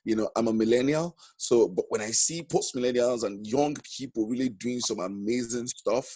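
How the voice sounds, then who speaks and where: Man, husky voice, dry sound, keen and repetitive, video call chat. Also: mid-range voice, normal speed, mellow, no room sound. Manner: enthusiastic, repeats phrases.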